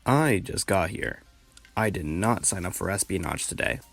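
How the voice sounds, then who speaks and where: A young woman playing a deadpan, unbothered student friend with dry, nonchalant delivery in an audio drama. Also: rumbling low, normal speed, gravelly, no room sound, faint music behind, heavily acted.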